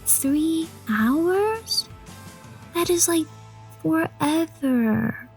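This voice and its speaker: A woman's voice: sits high, lively intonation, drawn-out words, full character voice. A woman voicing an eager, curious young schoolgirl in an audio drama. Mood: mildly whiny. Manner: eager, childlike. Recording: music under the voice. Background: music.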